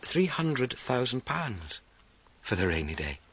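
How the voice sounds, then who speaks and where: An actor playing a methodical British police inspector in a radio drama, dryly polite and formal, questioning with measured insistence. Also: male voice, medium pitch, big pitch swings, fairly bright tone, breathy edge, highs cut off, heavily acted. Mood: pointed.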